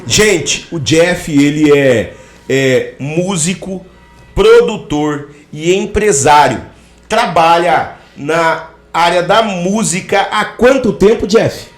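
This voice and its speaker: A man's voice: a little high, slow, roomy sound. A man hosting a podcast interview, introducing his guest in effusive, inspirational phrasing. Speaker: podcast host.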